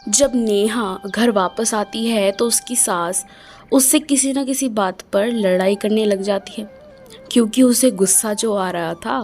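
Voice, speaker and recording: A woman narrating a storybook tale in a plain, unhurried way. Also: mid-range voice, a little mellow, soft background music.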